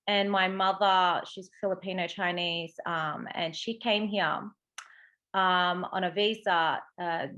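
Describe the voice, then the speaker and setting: A woman's voice: lowish voice, fairly bright tone, muffled recording. A woman with an Australian accent talking as an interview guest, chatty and explanatory, often checking in with "right" and "you know". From Sydney, Australia. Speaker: interview guest, psychic medium and coach. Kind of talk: casual interview conversation.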